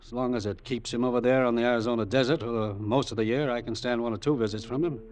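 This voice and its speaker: Male actor as racketeer boss: mid-pitched, imperious, restless, dry sarcasm, clipped orders, vintage radio drama. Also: fairly even intonation, fast, darkish tone, natural voice. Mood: sardonic, brooding. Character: blind crime boss, restless, commanding and sardonic. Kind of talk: old-time radio drama acting.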